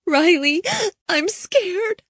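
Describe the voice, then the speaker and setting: A woman's voice: a little high, measured pace, no echo, studio quality, pitched above the performer's own voice, close to an everyday voice. A woman voicing a frightened young girl who is crying and panicky, her voice breaking. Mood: terrified.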